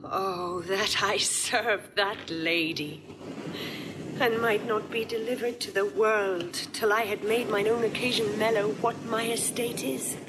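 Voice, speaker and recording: Noisy recording, woman acting earnest young noble lady doing shakespeare verse, slow and lightly high. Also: fairly even intonation, lightly acted. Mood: earnest, resolute. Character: earnest, resolute young noblewoman planning a disguise. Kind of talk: classical Shakespearean audio drama acting.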